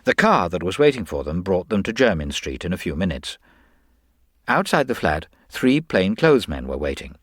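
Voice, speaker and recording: Low-pitched, expressive male story narrator. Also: normal speed, husky, no echo, clean studio sound. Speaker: audiobook narrator.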